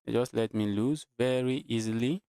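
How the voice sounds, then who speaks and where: A man's voice: medium pitch, moderate pace, mellow, clean tone, no echo. Nigerian man, dry close sound, thinking aloud. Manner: repeats phrases, chatting with viewers. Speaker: chess streamer. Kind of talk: casual live game commentary, thinking aloud.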